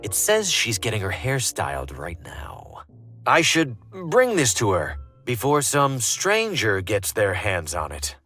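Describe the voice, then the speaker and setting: Hammy scheming bitter writer in a video game, slow, really sing-song swings, sharp tone. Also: male voice, lowish voice, wildly swooping pitch, soft background music, heavily acted. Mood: wry, scheming. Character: scheming embittered male would-be novelist in stage melodrama. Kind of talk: point-and-click game character monologue.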